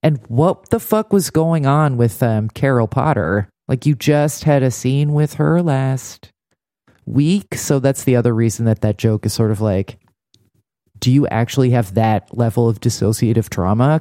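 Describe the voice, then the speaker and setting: A man's voice: mid-range voice, normal speed, dark tone, no echo, clean studio sound. A man co-hosting a casual recap discussion in a wry, critical way. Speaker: podcast co-host.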